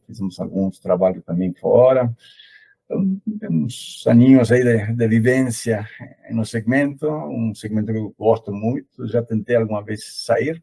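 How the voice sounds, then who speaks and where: A man's voice: lowish voice, gravelly, dark tone, slightly rough, poor audio. A middle-aged man from Argentina with an Argentine accent, speaking Portuguese as a second language as an interview guest, hesitant with many fillers. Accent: Argentine Spanish. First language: Spanish. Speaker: interview guest, logistics consultant. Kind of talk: casual conversational interview.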